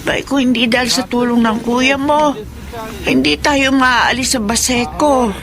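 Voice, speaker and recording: A woman playing a gentle, apologetic elderly mother in a radio drama, with a halting, motherly way of talking. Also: high voice, fairly even intonation. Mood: gentle, reassuring.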